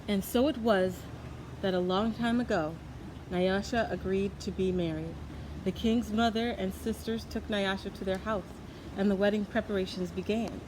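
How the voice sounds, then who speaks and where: A woman narrates a children's storybook. Her voice sits at a medium pitch. Noticeable background noise accompanies the reading. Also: fairly even intonation, normal speed. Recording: clearly noisy background.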